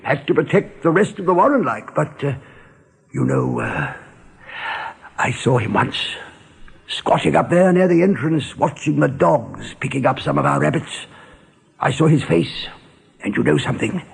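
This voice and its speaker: An actor playing a gruff, worldly rabbit guard in a radio drama, telling things in a colloquial, dramatic, sardonic way. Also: male voice, on the high side, sing-song, moderate pace, pauses often, exaggerated voice. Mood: bitter, confiding. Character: gruff male rabbit guard, worldly, grimly relishing storyteller.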